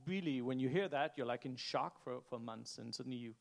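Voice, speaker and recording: A man with a gravelly, creaky voice speaks on an event stage. He is earnest and halting, restarting as he searches for words. His pitch is mid-range. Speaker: head chef on a panel. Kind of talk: reflective panel talk. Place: event stage before an audience.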